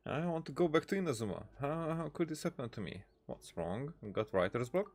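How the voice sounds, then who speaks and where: A man's voice: a little high, animated, brisk, darkish tone. A man doing casual let's-play commentary, reading game dialogue aloud in a hesitant way with many "uh"s and restarts.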